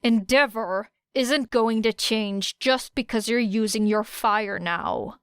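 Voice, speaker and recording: A woman voicing a fierce, blunt teenage girl with a sharp, growling delivery in an audiobook. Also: a little high, slow, clean tone, no room sound, studio quality. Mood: angry, sharp.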